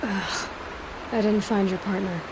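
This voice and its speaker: An actress playing a young huntress heroine in a video game, speaking in a measured, direct, questioning and matter-of-fact way. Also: female voice, mid-range voice, fairly even intonation, noisy background, natural voice. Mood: wary. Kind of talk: video game character acting.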